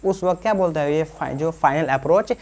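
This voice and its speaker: A man's voice: a little high, brisk, darkish tone. A man from Bihar with a Bihari accent explains like an informal teacher, colloquially, often asking questions and answering them himself. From Bihar, India. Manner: colloquial, slips into local dialect. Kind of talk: informal classroom-style explanation.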